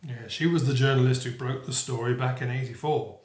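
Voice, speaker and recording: A man's voice: medium pitch, fairly even intonation, brisk, darkish tone, natural voice. Kindly retired police sergeant, elderly played by a male actor, modest and hesitant, audio drama, echoing room. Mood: friendly.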